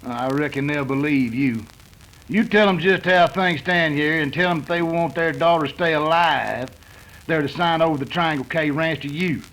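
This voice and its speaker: An actor playing a menacing outlaw gang boss with a folksy cowboy drawl, in 1930s western radio style. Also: male voice, fairly high pitch, normal speed, a bit bright, rough recording. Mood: threatening, smug.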